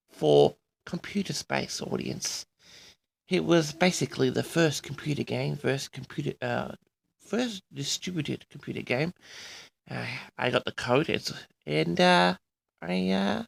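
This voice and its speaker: A man in his sixties from Australia hosting a personal audio log, reminiscing in a rambling, halting and boastful way straight to his listeners. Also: sits high, creaky, fairly bright tone. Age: 60s. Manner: boastful, halting, often addresses the audience.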